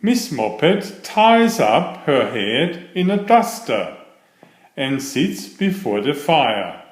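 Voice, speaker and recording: Male children's storyteller, unhurried read with animated intonation, echoey room sound. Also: a little high. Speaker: storyteller reading children's picture books. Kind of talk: scripted children's story narration. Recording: roomy echo on the voice.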